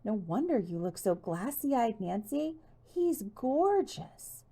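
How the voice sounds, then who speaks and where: A woman voicing a bubbly, boy-crazy teenage girl in an audiobook, breathless and excitable. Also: a little high, takes it slowly, slightly rough, voice pushed up, exaggerated voice. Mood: gushing.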